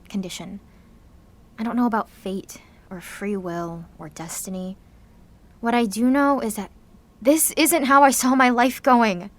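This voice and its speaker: A woman's voice: a little high, a bit bright, close to an everyday voice. A woman playing an anxious, guilt-ridden seventeen-year-old girl with superpowers in an audio drama, speaking in a confessional, sardonic, conversational way.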